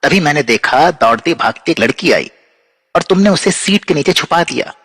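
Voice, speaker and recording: एक आदमी की आवाज़ में संयमित अंडरकवर जासूस. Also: male voice, on the high side, expressive, normal speed, a bit bright, slightly rough. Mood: probing. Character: composed, watchful male undercover police detective. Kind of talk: Urdu-Hindi audio drama acting. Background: background music.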